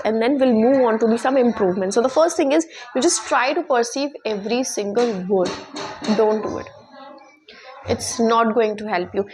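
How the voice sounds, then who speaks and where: A woman's voice: a little high, noisy background. A woman with an Indian accent giving an informal English lesson, often repeating herself and checking in with "okay" and "right". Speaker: online English teacher and YouTuber.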